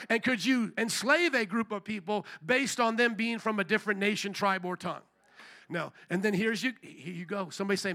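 High, bright-voiced male preacher. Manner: preaching with calls to the congregation; rises to loud shouted emphasis. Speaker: pastor. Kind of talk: sermon. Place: church service.

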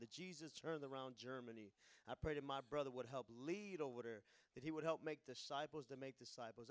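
A man's voice: mid-range voice, dry recording. A man leading an earnest spoken prayer in a church. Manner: earnest, repetitive prayer phrasing.

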